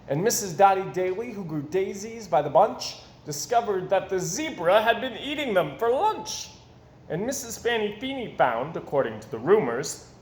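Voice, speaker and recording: Animated male storyteller with a lively pitch. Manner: theatrical, expressive. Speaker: storyteller reading a children's book. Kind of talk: animated read-aloud of rhyming picture book. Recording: roomy echo, faint background noise.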